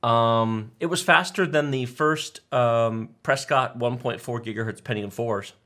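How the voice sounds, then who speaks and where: A man in his forties co-hosting a tech live stream, telling stories casually in an animated, emphatic, enthusiastic way. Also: medium pitch, unhurried. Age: 40s. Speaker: tech show co-host.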